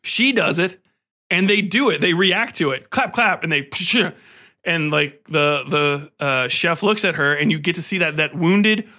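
A man podcast host giving a casual, rambling film review, enthusiastic and profane, often halting and repeating himself. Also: a little high. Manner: animated, enthusiastic; profane, slangy.

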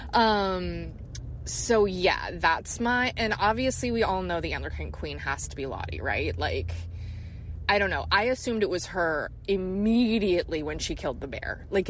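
A woman's voice: lowish voice, a little hiss or hum. A woman leaving a casual, rambling voice message, talking in a blunt, slangy, emphatic way with plenty of swearing. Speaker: fan leaving a voice message for a podcast.

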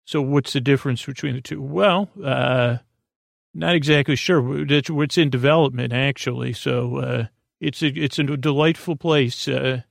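Gravelly, rambling American man, bedtime podcast. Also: medium pitch, dry recording, clean studio sound. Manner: rambling, halting, dreamy, whimsical. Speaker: podcast host. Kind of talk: meandering bedtime monologue to help listeners sleep.

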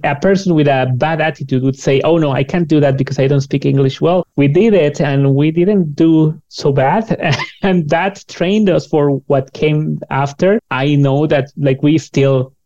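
A man's voice: fairly high pitch, normal speed, few pauses, warm tone, highs cut off. A man around forty with a Latin American Spanish accent, speaking conversationally as a podcast guest. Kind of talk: conversational interview.

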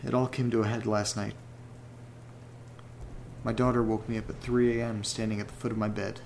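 Guy playing uneasy horror podcast host, gravelly and deliberate, pretty even tone, earnest audio drama narration w/ some background noise. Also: lowish voice, close to an everyday voice. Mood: uneasy, troubled. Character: uneasy male horror podcast host reading letters aloud. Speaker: audio drama podcast host character reading listener letters.

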